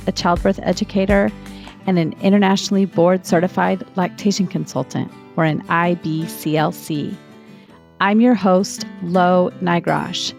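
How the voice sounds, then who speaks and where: Podcast host, woman, lowish voice, steady measured read. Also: vocal fry. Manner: steady, measured read with slow deliberate emphasis. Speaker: podcast host and lactation consultant. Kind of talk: scripted intro and interview hosting.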